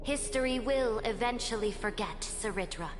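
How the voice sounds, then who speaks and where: A woman's voice: on the high side, normal speed, lightly acted. A woman playing a proud, resolute conqueror empress in a video game, speaking in an imperious, declamatory manner. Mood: proud, defiant. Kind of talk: dramatic video game voice acting. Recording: long reverb, music behind.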